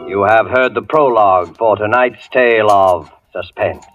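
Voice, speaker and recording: A man announcing a 1940s suspense radio program in a measured, dramatic manner. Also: on the low side, a bit bright. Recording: dull old radio recording, music behind.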